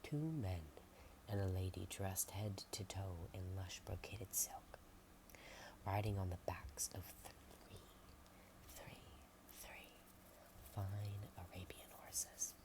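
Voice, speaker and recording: A woman reading a storybook in a soft whisper, adding chatty asides and sometimes stumbling over words. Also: extremely low pitch, steady tone, takes it slowly, poor audio.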